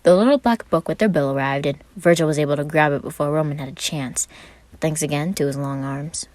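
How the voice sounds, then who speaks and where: A woman reading scripted fan fiction as an expressive story narrator. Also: low voice, fairly quick, dry recording. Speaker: podfic narrator.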